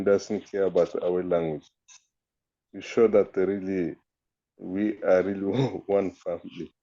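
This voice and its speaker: Man with a warm, fairly low voice; halting phrases, lo-fi call audio. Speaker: prayer group member. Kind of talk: casual group video call.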